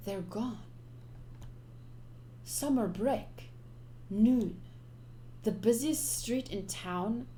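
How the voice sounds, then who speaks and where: Higher-voiced woman streaming a game. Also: extremely slow, pauses often, dark tone, slight background noise. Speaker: streamer playing and reading a visual novel. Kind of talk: live let's-play, reading text aloud and reacting.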